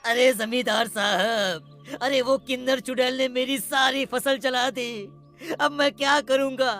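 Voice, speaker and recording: A performer voicing a distraught, helpless male village farmer, wailing in a drawn-out way in a story. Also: high-pitched, steady tone, fairly bright tone, soft background music. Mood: despairing wail.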